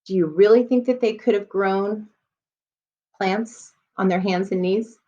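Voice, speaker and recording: A woman's voice: mid-range voice, fairly even intonation, a little slow, warm tone, lo-fi sound. A woman elementary school teacher leading a read-aloud lesson for children, explaining and addressing her listeners as she goes.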